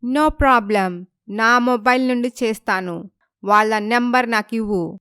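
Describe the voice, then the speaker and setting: Woman voicing a bossy, high-voiced young wife. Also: slow, a bit bright, clean tone, no echo, studio quality. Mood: demanding, annoyed. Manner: bossy, mixes in English phrases. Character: demanding, bubbly young American-based wife.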